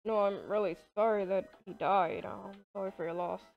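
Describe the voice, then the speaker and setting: A woman's voice: medium pitch, steady tone, moderate pace, gravelly, dull audio, soft background music, close to an everyday voice. A woman voicing an awkward, flirty boss man in an improvised game roleplay, talking hesitantly. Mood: sympathetic.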